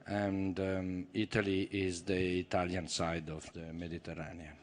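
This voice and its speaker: Low-voiced man, halting and joking. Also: little pitch movement, slight background noise. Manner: halting, with many fillers, joking. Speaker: film producer. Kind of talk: panel talk.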